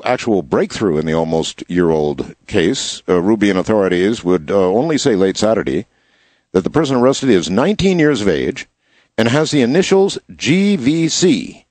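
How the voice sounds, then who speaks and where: A slightly hoarse man hosting a show, reading news headlines in a casual style and adding wry asides. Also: medium pitch, darkish tone, no room sound. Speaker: show host.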